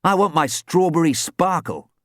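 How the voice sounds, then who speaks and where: A man putting on a high, bouncy voice for an eager schoolboy footballer in a children's audiobook. He sounds excited and boastful, with a dry, close recording. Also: moderate pace, dry recording, close to an everyday voice. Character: excitable young boy footballer, cheeky.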